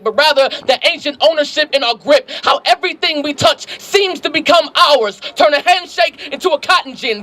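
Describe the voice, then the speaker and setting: A man's voice: very high voice, bright, forceful tone. An American man delivering impassioned spoken-word poetry in an emphatic, rhythmic, declamatory way at an outdoor rally.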